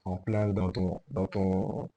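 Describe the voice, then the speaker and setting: A chatty man hosts an interview with easy enthusiasm, jumping in with quick agreement and short asides. His voice sits fairly low, and his questions come out in a loose, conversational run. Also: flat delivery, dark tone, lo-fi sound. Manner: chatty, eager, talks over the guest with quick agreement. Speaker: host. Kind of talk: casual interview.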